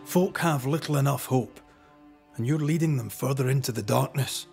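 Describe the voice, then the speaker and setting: An actor playing a resolute, principled ghost hunter in a video game, speaking in a measured, firm and pointed way. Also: male voice, mid-range voice, creaky, darkish tone, faint music behind, lightly acted. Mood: urgent challenge. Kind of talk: dramatic video game acting.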